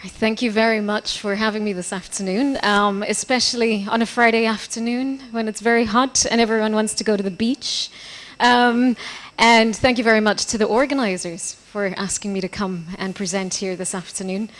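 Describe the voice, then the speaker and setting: Woman lecturing at a conference, chatty, keeps asking the room "okay?", mid-pitched voice. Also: fairly even intonation, moderate pace. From Portugal. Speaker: conference speaker. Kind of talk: academic conference talk. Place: conference hall.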